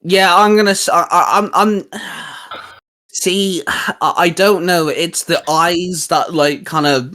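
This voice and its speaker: A man's voice: sits high, fairly even intonation, slow, bright, forceful tone. A man co-hosting a casual geek chat, talking in a hesitant, rambling, informal way with bits of slang. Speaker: co-host of a geek culture show. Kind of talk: casual chat, reviewing video game designs.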